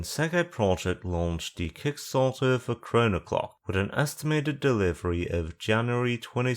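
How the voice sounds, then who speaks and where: A man narrating a scripted video essay in a dry, sarcastic manner. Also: fairly low pitch.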